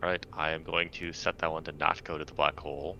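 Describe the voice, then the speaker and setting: A man playing a tabletop roleplaying game, casually stating his moves, on a clearly noisy recording. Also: extremely low pitch, flat delivery, quick pace, bright, forceful tone, muffled recording.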